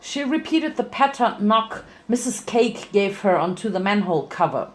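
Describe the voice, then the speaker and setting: A woman's voice: medium pitch, sing-song, normal speed. A woman narrating fan fiction as a dramatic reading, occasionally stumbling and correcting herself.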